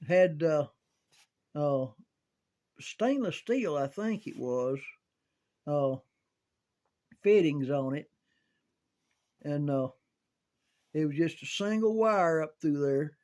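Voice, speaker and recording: An older man telling casual, rambling recollections in a halting, folksy way, as if thinking aloud. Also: on the high side, animated, darkish tone. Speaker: amateur storyteller reminiscing about CB radio.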